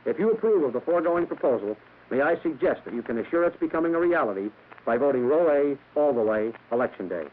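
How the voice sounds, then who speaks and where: Fast, formal American political candidate. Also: male voice, mid-range voice, animated. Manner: formal, stiff. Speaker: mayoral candidate. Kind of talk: scripted political radio address. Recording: tinny, hissy vintage radio recording.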